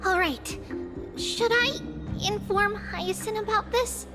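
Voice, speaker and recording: A woman's voice: extremely high pitch, slow, in a large hall. An actress voicing a sweet, earnest little girl in a video game, with simple, childlike phrasing. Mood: worried. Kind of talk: scripted video game voice acting. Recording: music under the voice.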